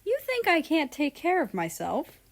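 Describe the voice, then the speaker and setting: A woman's voice: high voice, wildly swooping pitch, normal speed, voice pushed up, full character voice. A woman voicing a cocky, sulky young prince from a story, with an indignant, teasing manner.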